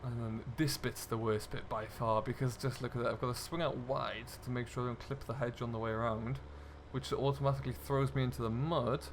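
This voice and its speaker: A man's voice: mid-range voice, fairly even intonation, a little fast, hardly any pauses, a little hiss or hum. A man giving casual, chatty commentary as host of a role-play gaming video, thinking aloud in an easygoing way.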